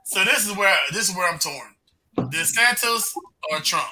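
A man's voice: high voice, lively intonation, moderate pace, background noise. A man bantering casually on a podcast in a jokey, slangy way. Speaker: podcast panelist.

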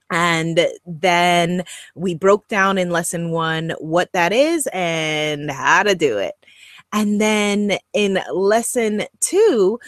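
Woman coach with a Guyanese accent, unhurried and emphatic, dry close sound. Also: mid-range voice, dry recording. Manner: upbeat, animated, emphatic and encouraging. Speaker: online course host and coach. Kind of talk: direct-to-camera e-course lesson.

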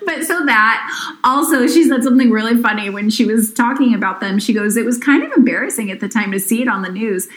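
A woman co-hosting a podcast in casual chat, with a slightly scratchy voice and a bit of vocal fry, who laughs often and swears casually. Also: on the high side, a little fast. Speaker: podcast co-host. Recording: roomy echo.

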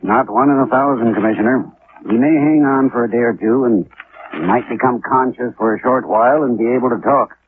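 Male actor for measured, frank doctor, middle pitch, vintage radio drama, tinny sound. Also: natural voice. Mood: grave. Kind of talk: 1950s radio drama acting. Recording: noisy, narrow-band old 1950s radio recording.

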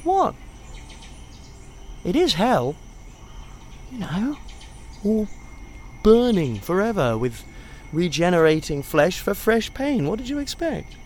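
An actor playing a flippant, sardonic, charming rogue in an audio drama. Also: male voice, high-pitched, huge pitch swings, slow pace, long pauses, slight background noise, heavily acted. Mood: mocking. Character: flippant, charming, theatrical male hellish wanderer named Serenity. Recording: outdoor insect and bird ambience behind.

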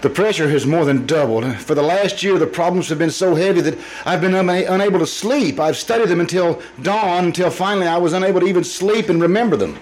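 Hesitant, troubled businessman, played by a man, higher-voiced. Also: darkish tone, slight background noise, close to an everyday voice, poor audio. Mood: anxious, earnest. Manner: hesitant, halting. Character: troubled, anxious businessman with frightening memory lapses. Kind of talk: old-time radio drama acting.

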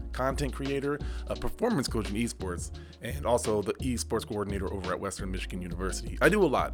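A young adult man hosting a casual solo podcast, hoarse from losing his voice, rambling and correcting himself as he goes. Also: lowish voice. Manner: rambling, self-correcting, laughs often. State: hoarse, lost voice. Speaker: podcast host and esports coach.

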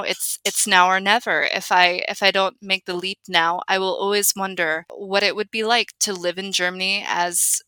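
Young woman, bright forceful tone, dry close sound, reflective, earnest, restarts often. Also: on the low side, no room sound, poor audio. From United States. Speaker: guest. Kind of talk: conversational interview.

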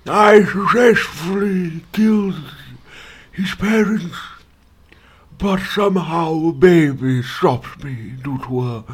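A man voicing a boastful, sneering male dark wizard in a mocking, overblown way. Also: a little high, slow pace, slightly rough, pitched above the performer's own voice. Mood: mocking wail. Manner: mocking, overblown, cracking into whispers.

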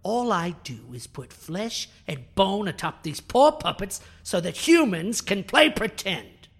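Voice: medium pitch, sing-song, measured pace. An actor playing a proud, bitter alien scientist in a sci-fi audio drama, speaking in a haughty, theatrical, precise and formal way. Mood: bitter, indignant. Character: proud, bitter alien scientist who builds biohybrid creatures. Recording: music under the voice.

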